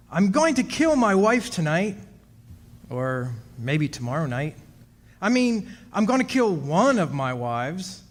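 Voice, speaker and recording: Man playing an uneasy high-voiced radio drama narrator. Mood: confiding, grim. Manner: conversational, confiding, dramatic. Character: uneasy, confiding immortal man bound to a witch. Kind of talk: stage revival of 1940s radio drama narration.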